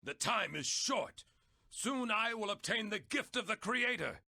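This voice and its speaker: Casting: man to play grandiose, menacing fantasy game villain, high voice, very animated pitch. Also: unhurried, gravelly, a little hiss or hum, exaggerated voice. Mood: grandiose menace. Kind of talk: video game voice-over session.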